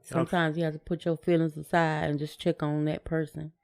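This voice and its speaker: A middle-aged American woman with a rather low, very mellow voice. She co-hosts in a casual, colloquial style, feeling her way tentatively through what she says. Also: level tone, fairly quick. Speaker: podcast co-host. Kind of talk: casual chat.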